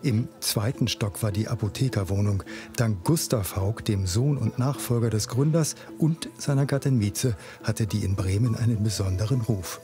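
A man narrating a TV report in an even, informative voice-over. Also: fairly low pitch, moderate pace. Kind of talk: scripted TV narration. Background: music.

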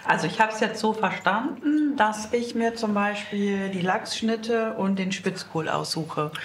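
A woman's voice: medium pitch, a little slow, slight background noise. Hesitant female restaurant guest. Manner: hesitant, searching for words. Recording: echoey restaurant with diners chatting.

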